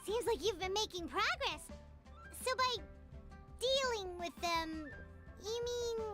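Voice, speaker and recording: Tiny squeaky chirpy sprite companion, very high and bouncy, game score underneath. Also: female voice, lively intonation, takes it slowly, heavily acted. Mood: chirpy curious. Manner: talks about herself in third person. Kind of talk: video game voice acting. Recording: game audio with music behind.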